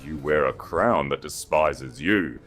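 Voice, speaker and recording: A man voicing a calm, commanding young queen, measured and coldly unyielding, in an audiobook fantasy romance. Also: very deep voice, faint music behind, heavily acted. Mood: freezing pity.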